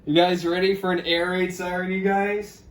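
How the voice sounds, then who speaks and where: A man's voice: high-pitched, flat delivery, normal speed, a crowd in the background. A man hosting a casual unboxing video from his room, jokey and chatting to viewers and friends. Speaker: host of a radio unboxing video. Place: a room at home.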